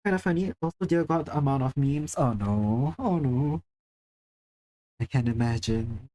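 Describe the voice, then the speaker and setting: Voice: mid-range voice, animated, moderate pace, dark tone. A livestreamer from Singapore chatting casually with viewers, chatty and self-deprecating, swearing now and then. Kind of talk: casual live-stream chat, reading chat.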